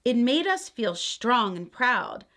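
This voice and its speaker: A woman's voice: a little high, big pitch swings, slow pace, fairly bright tone, full character voice. A woman reading the part of a patient grandmother who looks back reflectively on her childhood in a picture book.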